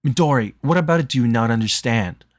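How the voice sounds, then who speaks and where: A man voicing a sharp, skeptical young woman with a pointed, questioning manner. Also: mid-range voice, lively intonation, fast talker, no echo, clean studio sound, close to an everyday voice. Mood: irritated.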